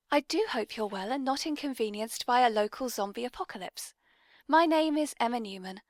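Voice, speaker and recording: A British woman with a breathy voice, hosting a whimsical podcast in a genteel, playfully polite manner. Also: fairly high pitch, no echo, clean studio sound. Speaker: podcast host.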